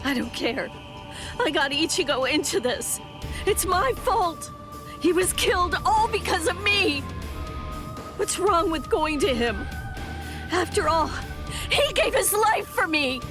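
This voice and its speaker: A woman's voice: extremely high pitch, very animated, slow, pauses often, over music, heavily acted. An actress playing a proud young noblewoman warrior in an anime game, formal, imperious and dramatic, with stern self-sacrifice. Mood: anguished. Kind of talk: English anime game dub acting.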